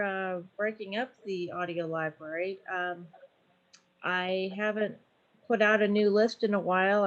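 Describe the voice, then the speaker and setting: A woman giving a casual club report over dull-sounding video call audio. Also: medium pitch. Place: remote video meeting.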